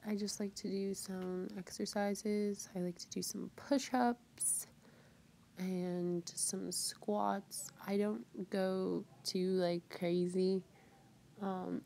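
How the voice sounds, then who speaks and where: Slow, gravelly-voiced young woman vlogger. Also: mid-range voice, a little hiss or hum. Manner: relaxed, soft-spoken, with light laughs. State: getting over a cold. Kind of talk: casual voice-over narration of a routine. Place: bedroom at home.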